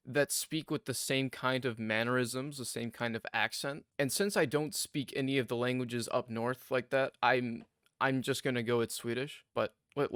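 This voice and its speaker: A man's voice: medium pitch, a bit bright, no echo, studio quality. American man hosting a reaction video. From United States. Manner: chatty, analytical. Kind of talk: casual commentary on video clips.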